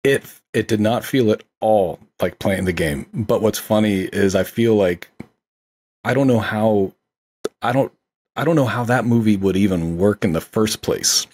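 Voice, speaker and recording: Podcast guest, man, husky low voice, eager and rambling. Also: a little slow, darkish tone. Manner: enthusiastic, rambling, many fillers like "like". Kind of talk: casual movie discussion.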